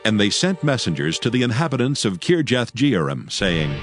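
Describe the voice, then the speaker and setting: A man narrates a dramatized audio Bible in a low voice with lively rises and falls. He is solemn and measured, with faint music behind him. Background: faint background music.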